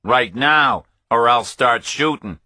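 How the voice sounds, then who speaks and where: A man's voice: medium pitch, expressive, slow, bright, forceful tone, no echo, pitched above the performer's own voice, heavily acted. A man voicing a menacing, smug armed villain in a thriller audiobook, commanding and taunting.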